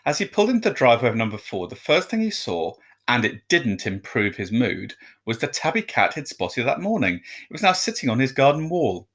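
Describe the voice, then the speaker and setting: Very animated male storybook narrator. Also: mid-range voice, fairly quick, hardly any pauses. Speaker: teacher reading a story aloud.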